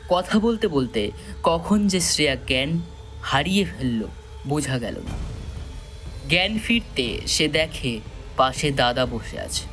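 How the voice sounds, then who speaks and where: A man narrating a scripted story solemnly and dramatically, with measured pauses. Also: on the high side, very animated, a bit bright, soft background music.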